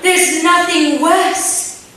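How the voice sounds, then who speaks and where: A woman's voice: very high voice, fairly even intonation, drawn-out words, a crowd in the background, full character voice. An actress playing a devoted, genteel lady lover, tremulous and over-earnest in a radio romance parody. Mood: anguished concern. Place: on a theatre stage. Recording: roomy theatre stage, distant, faint hiss.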